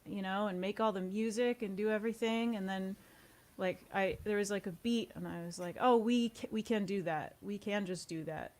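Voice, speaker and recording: Casual woman, medium-pitched voice. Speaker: interview guest, audio series co-creator. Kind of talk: casual chat.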